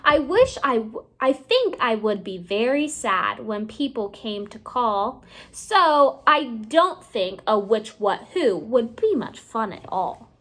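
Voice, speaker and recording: A woman reading a children's storybook aloud like a teacher, with expressive, deliberate phrasing and drawn-out words. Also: a little high. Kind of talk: children's read-aloud narration.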